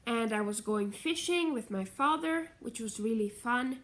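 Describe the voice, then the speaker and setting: A woman's voice: fairly high pitch, expressive, a little slow, background noise. Chatty nasal woman vlogging. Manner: chatty, meandering, self-correcting. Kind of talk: casual rambling vlog chat.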